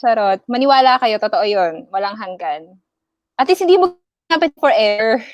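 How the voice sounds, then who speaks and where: A Filipino woman hosting a casual online interview, playful and quick with short replies. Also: a little high, lively intonation, dry recording. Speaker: host. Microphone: video call.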